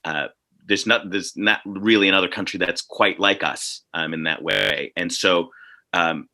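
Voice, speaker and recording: Man, panelist, very bright forceful tone, slightly low pitch, halting, repetitive, casual, video call. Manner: halting, repetitive, thinking aloud. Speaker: panelist, theatre artist. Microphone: video call microphone.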